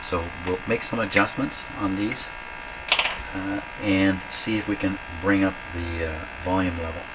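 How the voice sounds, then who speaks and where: A man giving an informal hands-on repair demonstration, thinking aloud in a halting way as he works. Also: deep voice, fairly even intonation. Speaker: radio repair hobbyist demonstrating. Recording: loud mains hum and workshop noise.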